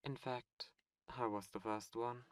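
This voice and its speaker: A man voicing a soft-spoken tempting demon prince. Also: mid-range voice, steady tone, dry recording, lightly acted. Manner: soft, slow, coaxing and tempting. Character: seductive ancient male demon prince, a fallen angel. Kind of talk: ASMR audio roleplay.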